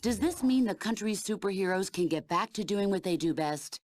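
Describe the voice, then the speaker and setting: A woman's voice: mid-range voice, animated, moderate pace, darkish tone, close to an everyday voice. An actress playing a female news anchor in a game, reading a formal, polished report. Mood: formal, upbeat.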